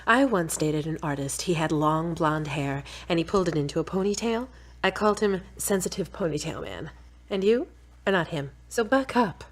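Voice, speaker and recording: A woman acts a frank girlfriend in an audio drama. Her voice is slightly low, and her delivery is wry, direct and supportive. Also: expressive, moderate pace. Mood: teasing, affectionate.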